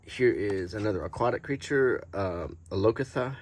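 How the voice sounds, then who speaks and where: A man presenting collectible cards in a casual, unscripted show-and-tell, halting and pausing often as he describes things. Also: lowish voice, expressive. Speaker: host presenting vintage collectible game cards.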